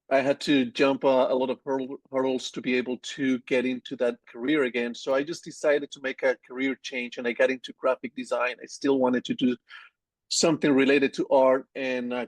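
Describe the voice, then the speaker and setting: A man in his forties from Colombia with a Colombian Spanish accent, a business founder talking casually in an interview with a relaxed, rambling manner and many restarts. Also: medium pitch, no echo, poor audio. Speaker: agency founder guest.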